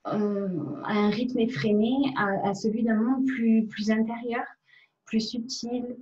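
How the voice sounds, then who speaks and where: A woman giving a personal spiritual testimony in a reflective, hesitant way with frequent pauses. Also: fairly high pitch, flat delivery, darkish tone. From France. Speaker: member of a religious community giving a testimony. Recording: dull, muffled lo-fi sound.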